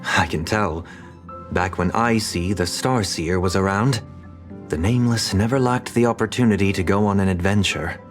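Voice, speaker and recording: A man playing a grave, reflective veteran in a video game, with measured speech. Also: deep voice, faint music behind, lightly acted.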